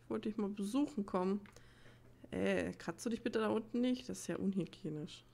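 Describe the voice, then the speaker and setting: Creaky-voiced woman chatting through a game. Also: mid-range voice, moderate pace, mellow. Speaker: let's play host. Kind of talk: casual gameplay commentary. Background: video game ambience.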